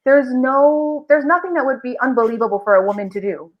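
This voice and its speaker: A woman's voice: high voice, fairly even intonation, fairly quick, lo-fi sound. A woman talking reflectively and at length as a guest voice actor in a casual podcast interview.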